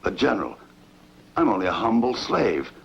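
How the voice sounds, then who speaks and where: A very low-voiced humble slave, played by a man in an ancient epic film dub, pleading and deferential. His pitch swings widely, and the recording is muffled with faint noise behind it. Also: big pitch swings, normal speed, vocal fry. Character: humble frightened male slave reporting an ambush. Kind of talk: dubbed sword-and-sandal film dialogue.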